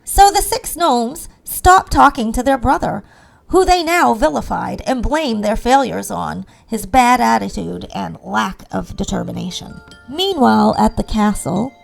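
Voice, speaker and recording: A woman's voice: a little high, animated, a little slow, vocal fry, soft background music, low-quality recording. A woman reading a scripted fairy-tale narration as the storyteller.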